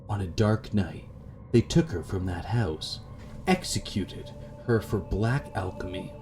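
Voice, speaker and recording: A man reading a brooding, guilt-ridden man haunted by lost love in a gothic horror visual novel, hushed and somber. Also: fairly low pitch. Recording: background music under the voice.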